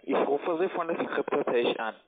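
Halting male telemarketer on tinny line. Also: on the high side, animated. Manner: halting, non-native German phrasing. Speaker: cold-call telemarketer. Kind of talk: sales phone call. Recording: tinny narrowband phone line.

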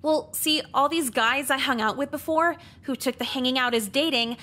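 An actress playing a cynical, sarcastic teenage high school girl in a visual novel, speaking in a dry, blunt deadpan. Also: moderate pace. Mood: dry, exasperated. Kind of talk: visual novel game voice acting.

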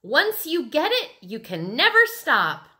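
A woman's voice: on the low side, very animated, drawn-out words, bright, forceful tone, full character voice. A woman voicing a playful, bold five-year-old girl in a children's storybook. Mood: singsong glee.

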